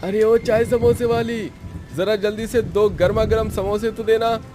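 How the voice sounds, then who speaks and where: A man's voice: high-pitched, fairly even intonation, a little slow, darkish tone, soft background music. Man playing a friendly man in a moral story. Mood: brisk. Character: friendly, appreciative male railway customers and supportive husband. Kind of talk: acted dialogue in a moral story.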